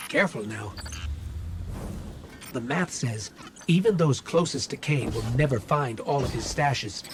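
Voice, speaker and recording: An actor playing a watchful, dry-witted companion who guides a hero through video game missions with wry encouragement. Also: male voice, fairly high pitch, noisy background, lightly acted.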